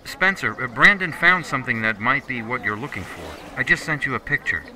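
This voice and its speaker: A man's voice: medium pitch, animated, brisk, sharp tone, slight background noise, poor audio. A man playing a helpful, matter-of-fact character giving reports in an audio drama, informal and obliging. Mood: friendly.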